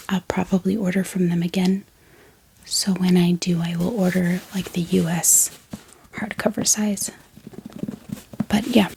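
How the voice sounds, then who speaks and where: A woman talks in a hushed, breathy whisper with a crackly fry running through it. Her delivery is casual and gentle, with unhurried pauses as if showing items to a viewer in an ASMR video. There is faint noise and light clicking in the recording. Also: on the low side. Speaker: ASMR book haul vlogger. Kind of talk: soft-spoken whispered ASMR, casual and unscripted.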